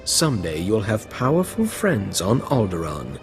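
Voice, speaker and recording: A man's voice: fairly low pitch, huge pitch swings, moderate pace, music in the background. Suave, lilting nobleman. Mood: cool, courtly. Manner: courtly, smooth-talking, affected. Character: suave, flirtatious aristocratic man, smoothly condescending. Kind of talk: video game voice acting.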